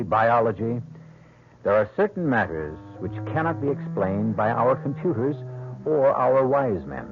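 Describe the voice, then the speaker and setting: A man hosting and narrating a 1970s radio drama in a deliberate, portentous, dramatic way. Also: lowish voice. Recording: dull old radio broadcast, music behind.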